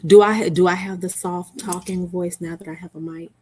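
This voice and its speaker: A woman's voice: on the low side, steady tone, moderate pace, a little mellow, breathy edge. A middle-aged woman hosting a casual live stream from home, chatting with viewers by name and laughing often. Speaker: livestream host. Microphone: headset mic.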